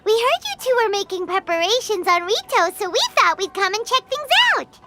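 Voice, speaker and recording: A woman voicing a tiny, bubbly, childish fairy companion in an anime game, squeaky and excitable. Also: brisk. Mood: excited. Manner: bubbly, speaks of herself in third person. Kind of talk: anime game character acting. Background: background music.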